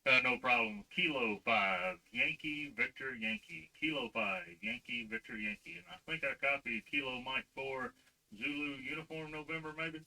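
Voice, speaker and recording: A man's voice: medium pitch, animated, moderate pace, gravelly, pushed and bright, far from the mic, low-quality recording. A man working as a ham radio operator in a two-way exchange, heard as a radio recording played back through a speaker.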